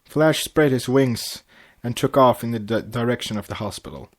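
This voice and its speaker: A man's voice: mid-range voice, moderate pace. A man narrating a story aloud as an amateur podcast host, tripping over words and correcting himself. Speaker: podcast host reading fan fiction aloud.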